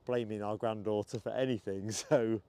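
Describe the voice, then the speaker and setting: A man's voice: fairly low pitch, fairly even intonation, moderate pace, mellow, clean tone. An older man from England hosting a live stream outdoors at an airport, chatting casually, joking and laughing easily, with a slight cough. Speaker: livestream host. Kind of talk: casual chat.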